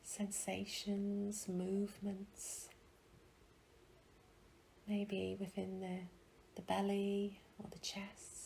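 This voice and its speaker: A woman guiding a meditation in a soft, breathy, soothing way, leaving long pauses between phrases. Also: mid-range voice, monotone, a little hiss or hum, poor audio. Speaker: meditation guide. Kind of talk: guided meditation.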